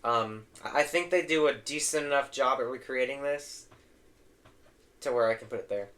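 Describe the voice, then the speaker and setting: A young man hosting a casual tier-list ranking, his voice going hoarse, opinionated and trailing off into low mumbles. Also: moderate pace, a bit bright. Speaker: host.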